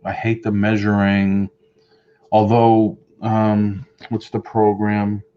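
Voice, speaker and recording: A man's voice: fairly low pitch, completely flat delivery, slow, smooth, lo-fi sound. A middle-aged man with a Boston accent, chatting casually on a home live stream, rambling and answering viewer comments. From Boston area. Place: home room with shelves of collectibles.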